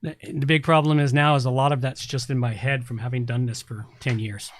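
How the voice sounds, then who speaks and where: A man's voice: medium pitch, flat delivery, brisk. A middle-aged man hosting a podcast, talking casually in a rambling, blunt, informal way. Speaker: podcast host and website consultant. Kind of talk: casual tech podcast talk.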